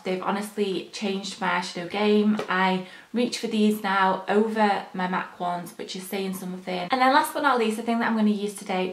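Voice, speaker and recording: A young woman with a slightly hoarse morning voice, chatting casually through her makeup routine as a beauty vlogger. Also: medium pitch, reverberant. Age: young adult. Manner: chatty, rambling, frequent ums. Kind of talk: casual chat while applying makeup.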